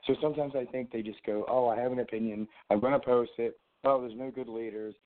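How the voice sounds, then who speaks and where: A man's voice: medium pitch, steady tone, fast. A man calling in to a talk show with a sore, froggy throat, rambling with many restarts. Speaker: caller, fellow show host. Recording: tinny phone line.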